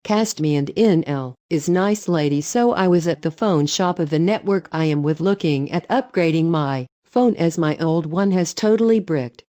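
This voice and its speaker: A woman narrating online stories in an even, matter-of-fact reading voice. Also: low-pitched, normal speed, few pauses, no echo, clean studio sound.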